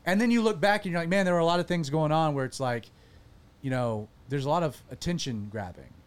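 A pastor hosting a casual panel podcast, animated and self-deprecating. His voice sits fairly high for a man. He often trips and restarts mid-sentence. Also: fast, smooth.

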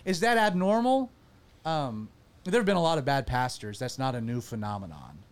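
A man who pastors and hosts a talk show, chatting casually with a panel, animated and self-deprecating, often restarting his sentences. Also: medium pitch, normal speed, clean tone. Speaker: pastor and podcast host.

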